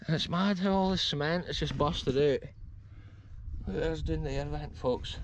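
A man's voice: a little high, moderate pace, noisy background. A Scottish man in his thirties, exploring an open field and talking eagerly to viewers while filming himself on an action camera. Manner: enthusiastic, addresses viewers as folks. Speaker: explorer vlogger. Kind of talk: walking tour commentary. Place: open grassy field outdoors. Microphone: action camera held close.

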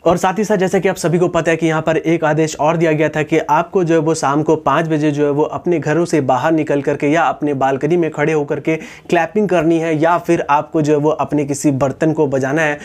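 A man's voice: fairly high pitch, fairly even intonation, a little fast, few pauses. A man in his twenties with an Indian accent, explaining things directly to his viewers as a YouTube host. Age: 20s. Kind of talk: explanatory talk to camera. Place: indoors, small room against a wall. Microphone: lapel mic.

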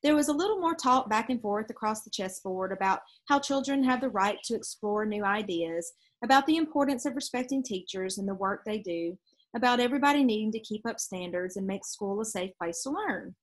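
A woman's voice: mid-range voice, lively intonation, brisk. A woman in her thirties reading a children's storybook aloud expressively outdoors. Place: outdoors on a leafy backyard porch.

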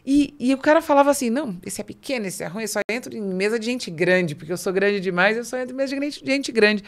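A woman's voice: a little high, expressive, fast talker. A middle-aged woman with a Brazilian Portuguese accent, hosting a business podcast in an emphatic, colloquial storytelling way. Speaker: podcast host. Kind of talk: conversational business podcast interview. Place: small podcast studio with curtains, indoors. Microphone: close studio mic on boom arm.

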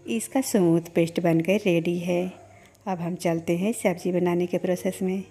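A woman guides listeners through a home recipe in a calm, measured voice-over. Her voice sits low and warm, moving in a narrow, even line. Faint background music plays beneath her steady instructions. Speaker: cooking channel host.

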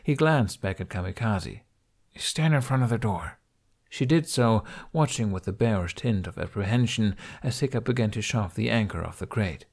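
A man's voice: lowish voice, animated, moderate pace, vocal fry, hardly any pauses, darkish tone. A man reading a scripted story aloud as an audiobook narrator.

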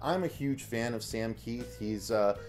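A man's voice: medium pitch, measured pace, a little mellow, soft background music. A man in his thirties with an American accent, co-hosting a conversational comic book review. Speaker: review show co-host. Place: small indoor room lined with comic shelves.

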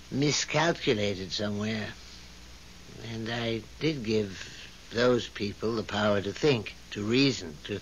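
A put-upon God in a 1970s radio play, fussy and exasperated. His pitch leaps about in flustered hesitation. The old recording is muffled, with faint hiss. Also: fairly bright tone. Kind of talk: 1970s radio drama acting.

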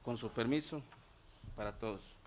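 A man's voice: medium pitch, moderate pace, slight background noise. Masked man reading a formal address. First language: Ch'ol. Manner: formal, reads from prepared notes. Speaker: government secretary appearing before lawmakers. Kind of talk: formal address to a legislative committee. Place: legislative meeting room. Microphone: table gooseneck mic, face mask on.

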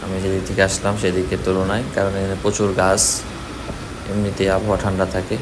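A Bangladeshi-accented man narrates his motorbike ride in a casual vlog style. His voice sits on the low side and stays very flat in pitch. Clear road and vehicle noise runs behind him. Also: fast talker. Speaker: vlogger. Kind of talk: casual commentary while riding a motorbike. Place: outdoors on a moving motorbike in city streets.

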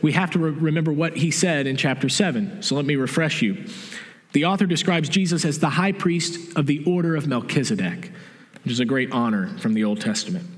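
A middle-aged man preaching a sermon in a church sanctuary, explaining calmly and restating his key points. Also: fairly high pitch, brisk. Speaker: pastor. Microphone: stage mic from a far camera. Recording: long church reverb.

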